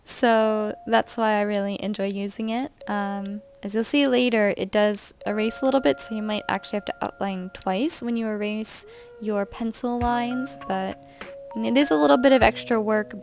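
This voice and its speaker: Chatty young woman, soft music behind. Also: medium pitch. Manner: chatty, rambling, with light laughs and fillers. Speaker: art vlogger. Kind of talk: casual voice-over while drawing. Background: soft background music.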